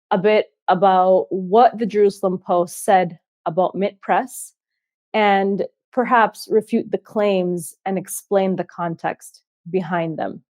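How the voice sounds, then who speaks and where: A woman hosting a political interview, speaking quickly and indignantly. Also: mid-range voice, a little mellow, no echo, lo-fi sound. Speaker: host. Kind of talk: fast political commentary.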